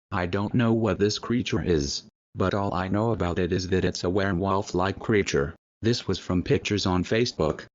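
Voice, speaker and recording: A man playing a calm, serious field correspondent, giving a measured, formal scripted news report in dull audio. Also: sits low, no room sound, lightly acted.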